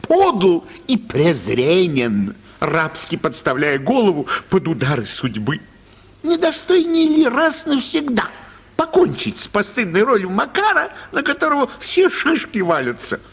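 A self-pitying theatre impresario in a radio drama, a born tragedian. His raspy voice sits high and swoops wildly as he declaims and wails. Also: huge pitch swings, unhurried, exaggerated voice. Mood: tragic self-pity. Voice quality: raspy, creaky. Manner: declamatory, wailing, breast-beating exclamations. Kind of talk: Russian radio play acting of a classic story.

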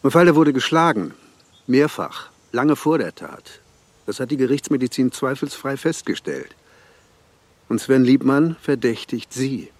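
A man's voice: mid-range voice, expressive, a little slow, no echo. A man playing a wry, needling police detective who also coaches football, in a radio crime drama. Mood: probing. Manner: sardonic, probing.

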